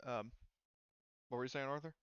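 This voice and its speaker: A man's voice: medium pitch, moderate pace, a bit bright, highs cut off, slight background noise. A man talking casually as the game master of a tabletop role-playing game.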